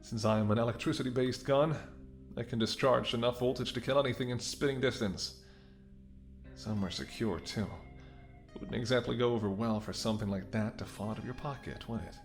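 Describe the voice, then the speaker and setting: A man's voice: medium pitch, brisk, soft background music, close to an everyday voice. A man playing a menacing, smirking mercenary ex-bodyguard in an audio roleplay, with a taunting, sardonic way of talking. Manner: taunting, sardonic, swearing, drops to whispers. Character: menacing, smirking male mercenary ex-bodyguard holding someone at gunpoint. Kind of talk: dramatic audio roleplay spoken to the listener.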